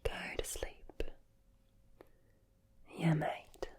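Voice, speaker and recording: A woman voicing a laid-back, matey Australian with a put-on Australian accent, in a soothing breathy ASMR whisper. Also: drawn-out words, a little mellow.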